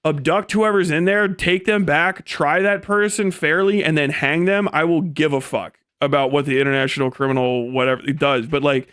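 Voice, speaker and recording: High-voiced man ranting off the cuff. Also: normal speed, hardly any pauses, clear, resonant tone, dry recording, studio quality. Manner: sweary, blunt and sardonic; animated, acting out imagined dialogue. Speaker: livestream host. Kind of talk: opinionated off-the-cuff livestream rant.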